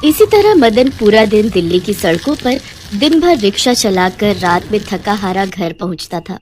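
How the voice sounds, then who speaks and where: A woman's voice: mid-range voice, animated, moderate pace, darkish tone, a little hiss or hum. A woman narrator reading a scripted children's moral story.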